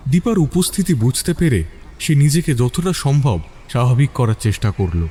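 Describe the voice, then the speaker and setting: A man narrating a scripted suspense story with deliberate phrasing and dramatic pauses. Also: mid-range voice, big pitch swings, mellow, slightly rough.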